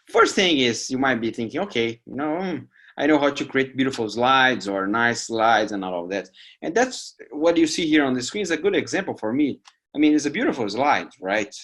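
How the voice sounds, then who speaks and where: A man in his forties from Brazil, whose first language is Portuguese, teaching an online course in a lively way, often tagging lines with "right?". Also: mid-range voice. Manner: lively, with emphatic rising asides and "right?" tags. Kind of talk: recorded lecture over slides. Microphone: webcam video call framing.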